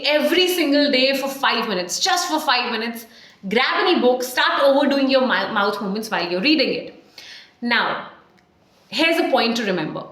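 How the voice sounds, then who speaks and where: A woman in her thirties with an Indian accent, coaching viewers on camera in an emphatic, animated way with questions to the viewer. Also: fairly high pitch. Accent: Indian English. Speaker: communication coach. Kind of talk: to-camera tutorial. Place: small tiled room, indoors, at a desk. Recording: echoey small room.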